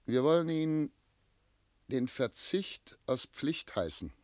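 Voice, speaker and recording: A man's voice: medium pitch, very animated, slow, darkish tone, no echo. An actor playing a tormented, self-doubting man of seventy in love, speaking solemn literary German lines in a classic radio play. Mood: resigned, tender. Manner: solemn, literary, declamatory phrasing.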